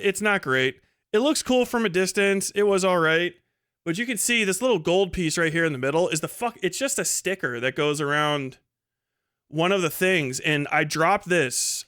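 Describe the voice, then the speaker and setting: An American man with a fairly high, bright voice talks to his livestream as host. His delivery is casual and rambling, with irreverent asides and plenty of swearing. The sound is close and dry. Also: clear, resonant tone, dry recording. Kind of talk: casual profane livestream monologue.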